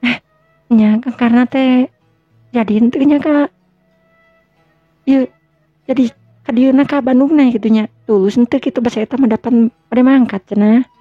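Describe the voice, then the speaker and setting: Considerate young Sundanese-accented wife, acted by a woman, lightly high pitched, hesitant domestic radio-drama talk, dry lo-fi recording. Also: fairly even intonation, normal speed, long pauses, dry recording, natural voice. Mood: thoughtful. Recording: dull lo-fi recording with music.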